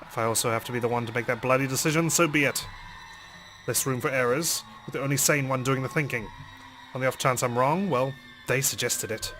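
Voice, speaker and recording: A man's voice: medium pitch, a little fast, a bit bright, faint music behind. A man hosting a let's play, reading a visual novel aloud dramatically and commenting on it.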